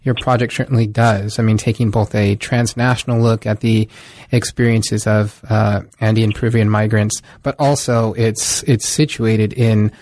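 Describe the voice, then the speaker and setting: A man hosting an academic book podcast, reading a formal introduction and then asking questions in a measured way. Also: fairly low pitch, little pitch movement, darkish tone, dry recording. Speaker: podcast host. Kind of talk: scripted introduction and interview questions.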